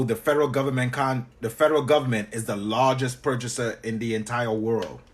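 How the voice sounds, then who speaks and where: A man with a Trinidadian accent, a business coach teaching on a live stream and chatting back to viewers with quick repeated acknowledgements. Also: mid-range voice, a bit bright. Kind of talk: live-stream teaching while answering chat.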